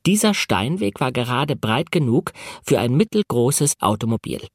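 Man reading literary audiobook prose, measured delivery. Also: mid-range voice, very animated, no room sound, clean studio sound. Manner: measured, deliberately phrased delivery with pauses. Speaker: audiobook narrator. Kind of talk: literary audiobook narration, read from a novel.

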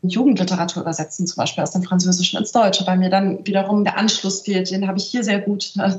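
A middle-aged woman from northern Germany, a translator speaking as an interview guest, in a thoughtful, searching way with frequent little tag questions. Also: mid-range voice. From northern Germany, near Celle. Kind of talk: reflective interview talk. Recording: roomy, slightly dull remote audio.

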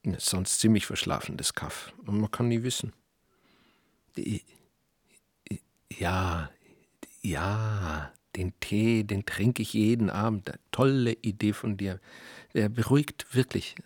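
An actor playing a wry, guarded writer who is an outsider, speaking in a dry, hesitant, understated way. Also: male voice, fairly low pitch, slow, dry recording. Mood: guarded, casual. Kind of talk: naturalistic film acting.

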